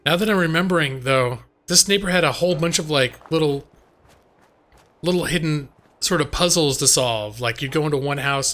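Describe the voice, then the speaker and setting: A slightly hoarse man streaming a game live, chatting casually and ramblingly to his viewers. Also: mid-range voice, pushed and bright. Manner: rambling, conversational, addresses chat directly. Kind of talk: casual chat with viewers while gaming. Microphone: close microphone on a boom arm.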